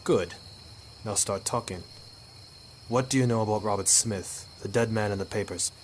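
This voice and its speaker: A man's voice: on the low side, normal speed, a little hiss or hum, lightly acted. A man playing a hard-boiled, sardonic photographer turned sleuth in a 1950s noir radio drama, with wry, tough-talking delivery. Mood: menacing.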